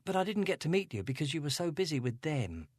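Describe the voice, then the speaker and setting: A man voicing a grieving teenage girl from a storybook, sulky and guarded under a sweet streak. The voice sits high above his own and swings about in pitch, soft and a touch breathy, on a dry recording. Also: sing-song, fast, no room sound. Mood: upset.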